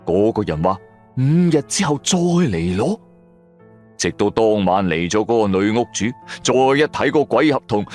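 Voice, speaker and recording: A man giving suspenseful, expressive narration of a scripted horror drama. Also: medium pitch. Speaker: storyteller narrator.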